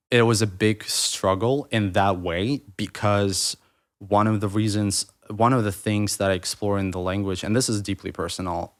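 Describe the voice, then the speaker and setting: A man in his thirties, originally from Ukraine with Russian as his first language, talking reflectively as a podcast guest, rambling with restarts and fillers. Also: lowish voice. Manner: rambling, restarts mid-thought, many fillers. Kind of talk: reflective conversational interview.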